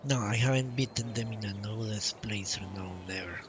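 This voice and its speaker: A man's voice: lowish voice, normal speed, very noisy. A man streaming a game live, giving blunt, casual commentary with some swearing. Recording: faint game music behind voice. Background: game music.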